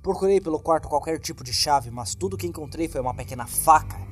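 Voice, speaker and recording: Male horror narrator, Brazilian accent, higher-pitched voice, suspenseful dramatic delivery, music underneath. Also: fast. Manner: suspenseful, acts out the lines.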